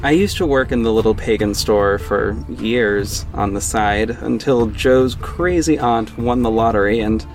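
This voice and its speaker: Wry young man narrating a drama. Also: medium pitch. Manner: wry, casual, conversational narration. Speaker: narrator and lead actor. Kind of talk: first-person audio drama narration. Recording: music bed underneath.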